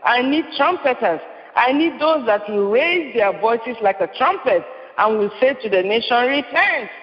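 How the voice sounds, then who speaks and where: A man delivering an impassioned prophetic sermon, repeating his lines with rising force. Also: high voice. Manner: impassioned, repetitive declamation. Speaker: preacher. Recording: muffled, reverberant hall.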